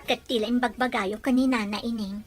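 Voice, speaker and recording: A woman's voice: on the high side, steady tone, fairly quick, soft background music, lightly acted. A woman playing an earnest young adult daughter in an audio drama, with an Ilocano accent. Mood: pleading, worried. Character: earnest, pleading grown daughter, soon to marry.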